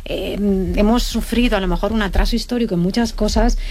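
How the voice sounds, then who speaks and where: A woman's voice: medium pitch, a little fast, darkish tone. A woman from Barcelona talking as an interviewer in a conversational interview. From Barcelona, Spain.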